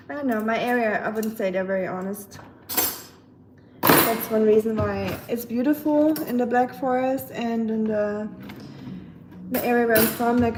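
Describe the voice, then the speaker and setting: A woman's voice: on the high side, heavy background noise. A young woman with a German accent chatting casually with her live stream viewers while she washes dishes in her kitchen. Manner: chatty, talks to chat while washing dishes. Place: home kitchen. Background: clattering dishes and cutlery.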